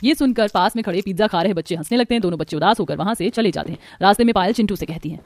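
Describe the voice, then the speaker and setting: A woman's voice: medium pitch, very fast, a little mellow, no echo. A woman reading scripted narration for a cartoon story.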